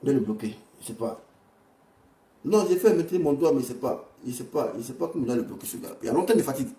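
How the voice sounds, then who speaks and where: A man's voice: medium pitch, lively intonation, fast, warm tone. An Ivorian man hosting an informal livestream commentary, rambling and repeating himself in a blunt, crude way. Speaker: livestream host. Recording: echoey room, distant from the mic.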